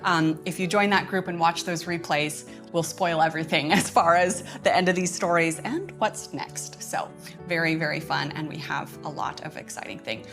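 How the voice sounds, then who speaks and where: A woman's voice: on the low side, normal speed, fairly bright tone. Chatty, enthusiastic woman hosting over music. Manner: chatty, enthusiastic, often chuckling. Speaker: host of a curriculum update livestream. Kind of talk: casual livestream announcements.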